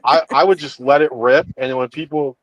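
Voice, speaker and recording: A man on a livestream panel telling jokey stories with halting repeats. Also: mid-range voice, fairly even intonation, dry recording, rough recording. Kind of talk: casual panel chat.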